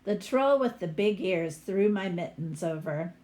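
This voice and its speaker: A woman's voice: on the low side, lively intonation, dark tone. A woman reading a picture book aloud as a teacher would, with explanatory asides to young listeners. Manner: unhurried, explanatory.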